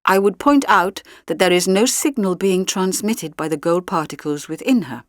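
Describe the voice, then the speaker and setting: A woman voicing a calm, formal male alien supercomputer in an audiobook, speaking in measured technical phrases. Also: lowish voice, dry recording, clean studio sound, lightly acted. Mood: measured, analytical.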